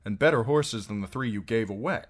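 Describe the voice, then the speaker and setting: A man's voice: on the low side, fairly quick, pitched above the performer's own voice, close to an everyday voice. A man voicing a sly, easygoing tavern singer in a storybook, with a teasing manner. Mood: wry.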